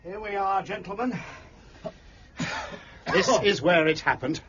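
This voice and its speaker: An actor playing an earnest, deferential and honest police inspector with a rough, husky voice in a period mystery drama. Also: male voice, high-pitched, a little slow, a bit bright, dull audio, a little hiss or hum. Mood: doubtful.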